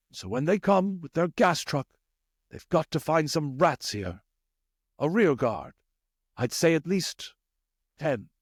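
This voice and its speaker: A man voicing a weary, commanding rat leader in a storybook, giving deliberate, urgent orders. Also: a little high, dry recording, studio quality, voice pushed up. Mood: grave, weary.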